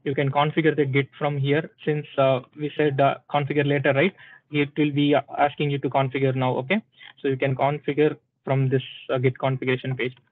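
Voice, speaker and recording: A man's voice: medium pitch, monotone, brisk, dry recording. Man, online tutorial presenter, step-by-step, filler-heavy, asks "right?", dry, phone-like audio. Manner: explains step by step with frequent "uh" and "okay"; checks in with listeners with "right?". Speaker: presenter at a tech community session. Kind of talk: live screen-share software tutorial. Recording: dry, thin phone-like sound.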